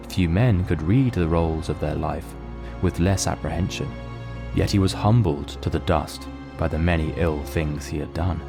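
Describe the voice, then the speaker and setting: A man narrating a mystery audio drama in a measured, solemn, literary manner. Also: low voice.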